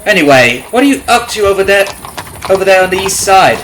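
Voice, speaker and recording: A man's voice: sits high, lively intonation, brisk, a bit bright. A man playing a nosy, falsely friendly substitute carriage driver in an ASMR roleplay, rambling folksily to the listener with sly undertones. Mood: chummy, apologetic. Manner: folksy, rambling, nosy, hesitant with mock-innocent asides. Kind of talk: ASMR roleplay audio drama, addressing the listener. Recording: noisy, horse and carriage ambience behind. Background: clip-clopping horse, carriage ambience.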